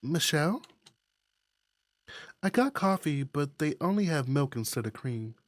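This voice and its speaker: A woman voicing a gentle, considerate young woman in a visual novel. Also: sits low, expressive, unhurried, no room sound. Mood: helpful.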